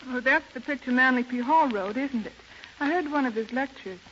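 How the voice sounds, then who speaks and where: A woman's voice: sits high, brisk, a bit bright. A woman, an actress, making scripted stage chat on a 1930s radio show. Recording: dull, noisy 1930s radio recording.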